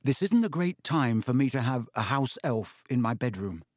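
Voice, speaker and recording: A man's voice: mid-range voice, expressive, normal speed, a little mellow, no room sound, studio quality, lightly acted. A man voicing a polite, nervous young boy in an audiobook, hesitant and earnest. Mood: awkward, polite. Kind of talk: audiobook character voice.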